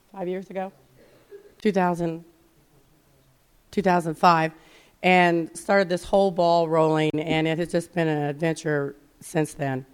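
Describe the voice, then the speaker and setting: A middle-aged woman giving a conference presentation, hoarse from losing her voice, chatty with many fillers. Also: lowish voice, darkish tone. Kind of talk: conference talk. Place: conference session room.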